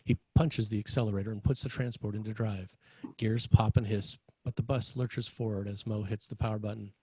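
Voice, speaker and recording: A man with a low, husky voice narrates a screenplay. He reads scene directions as scripted narration, and the sound is dry and close. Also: fairly even intonation, brisk, warm tone, no room sound. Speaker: narrator reading stage directions.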